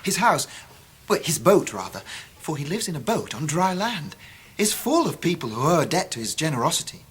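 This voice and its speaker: An actor playing a polite, earnest young English gentleman who tells his own story in a radio drama. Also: a little high, very animated, moderate pace, slight background noise. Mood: polite, enthusiastic. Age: young adult. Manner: courteous, earnest, well-spoken. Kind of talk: 1990s radio drama acting, with narration.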